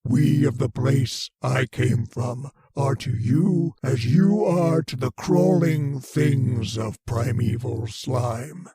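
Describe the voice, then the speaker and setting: Casting: ancient alien invader for radio horror, dark rasping voice, unhurried, pompous and menacing. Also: male voice, mid-range voice, exaggerated voice. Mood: haughty scorn. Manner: slow, pompous, contemptuous, declaiming. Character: ancient arrogant alien mind, a menacing meteor-borne invader. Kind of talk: old-time radio horror acting.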